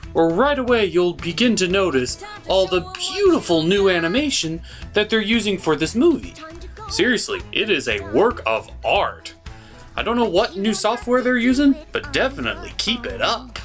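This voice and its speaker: A man hosting a comic movie review, narrating theatrically with dramatic pauses and emphasis. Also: sits high, huge pitch swings, a bit bright, faint music behind.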